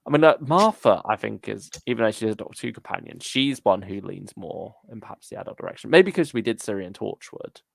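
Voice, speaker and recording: A man's voice: lowish voice, animated, fairly quick, vocal fry, hardly any pauses, no echo. A man hosting a casual fan discussion livestream, thinking aloud in a tentative way as he talks. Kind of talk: casual chat.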